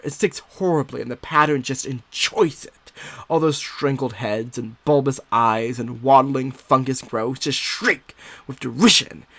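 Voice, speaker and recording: A man's voice: medium pitch, no room sound. A man performing an unhinged, secretive married woman who is unravelling, in a theatrical, sly, gleeful gothic monologue. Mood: agitated, scheming. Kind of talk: dramatic first-person gothic story narration.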